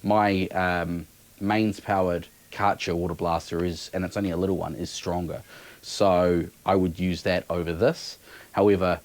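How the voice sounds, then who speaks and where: A man's voice: deep voice, flat delivery, moderate pace, darkish tone. A man giving a casual, unscripted product review in an offhand, matter-of-fact way.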